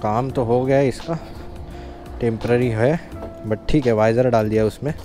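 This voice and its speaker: A man's voice: medium pitch, moderate pace, mellow. A young man, chatting casually while riding a motorbike outdoors and dropping in English phrases, recorded on a bike-mounted action camera. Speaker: motorcycle vlogger. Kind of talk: casual ride commentary. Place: outdoors on a moving motorbike on highways and town roads. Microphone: helmet or bike-mounted action camera. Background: background music.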